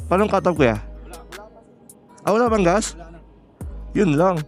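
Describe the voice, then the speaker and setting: A man's voice: high voice, a little slow, soft background music. A Filipino man vlogging on a motorcycle, talking in a casual, joking, slangy way and mixing in English. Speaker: motovlogger. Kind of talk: casual chat while riding a motorcycle. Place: outdoors on a motorcycle in city traffic.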